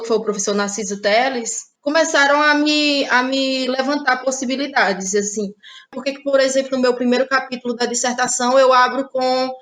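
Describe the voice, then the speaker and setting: A woman's voice: on the high side, a bit bright. A woman from Brazil, reflectively telling about her own work as an interview guest. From Ceará, Brazil. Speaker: interviewed playwright and actress. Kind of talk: conversational personal account.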